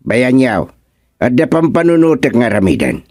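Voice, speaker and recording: A man with an Ilocano accent playing a proud, deliberate village chairman in a radio comedy-drama, musing in drawn-out phrases with many interjections. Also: mid-range voice, sing-song, darkish tone, dry recording, studio quality. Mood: confident, scheming.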